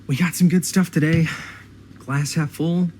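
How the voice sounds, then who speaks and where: A man's voice: on the high side, fairly even intonation, unhurried, darkish tone, slight background noise, lightly acted. An actor playing an easygoing but cautious young American man in a video game, speaking in a casual, slightly hesitant and wry way. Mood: playful. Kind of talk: naturalistic video game acting.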